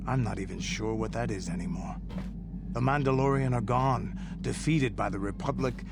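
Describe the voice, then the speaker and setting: A man's voice: medium pitch, moderate pace, heavy background noise, close to an everyday voice. An actor playing an aging Mandalorian mercenary who talks bluntly and broods over his past. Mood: brooding. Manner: blunt, brooding, world-weary. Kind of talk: video game voice acting.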